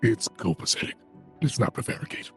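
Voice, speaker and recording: A man's voice: brisk, a bit bright, soft background music, lightly acted. A man playing a verbose, fussy, dapper spellcaster in a fantasy audio drama, with a pompous way of choosing big words. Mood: brisk. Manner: pompous, polysyllabic vocabulary.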